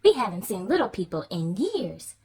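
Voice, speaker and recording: Giant's wife: motherly, soft, sympathetic, a little higher than the narrator, put on by a woman. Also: big pitch swings, normal speed, slightly rough, full character voice. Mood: kindly.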